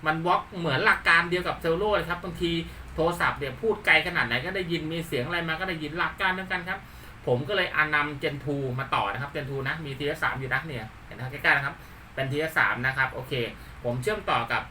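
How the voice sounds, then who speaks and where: A man from Thailand with a very bright, forceful voice gives an informal hands-on demo. He repeats himself and peppers his talk with polite particles. He sounds some way from the mic, with faint noise around him. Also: fairly high pitch, fast, recorded from a distance. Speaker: YouTube channel host. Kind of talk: casual hands-on product demonstration.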